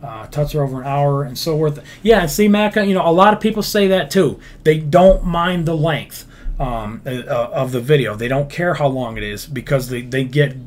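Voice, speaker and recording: A man's voice: fairly high pitch, a little mellow. A man hosting a casual livestream, reading viewer comments and answering them bluntly, swearing freely and rambling through lots of fillers and restarts. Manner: profane, blunt. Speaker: livestream host, game builder.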